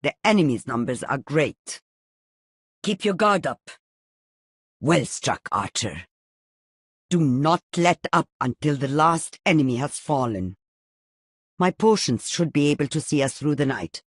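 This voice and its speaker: A woman playing a stern, wise old alchemist healer in a game, measured and commanding. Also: low voice, big pitch swings, slightly rough, no echo, clean studio sound, full character voice. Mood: firm, watchful.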